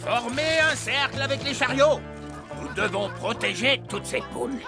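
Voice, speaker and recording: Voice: high voice, animated, normal speed. An actor voicing a folksy old male elf who takes charge like a cowboy, in a children's cartoon. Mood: commanding. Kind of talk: animated children's cartoon dubbing. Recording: music behind the voice.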